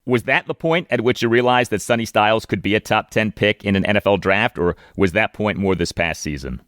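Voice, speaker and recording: An American man hosting a sports interview, putting prepared questions in a deliberate, formal way. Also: lowish voice, fairly bright tone, no room sound, studio quality. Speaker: sports podcast host, interviewer.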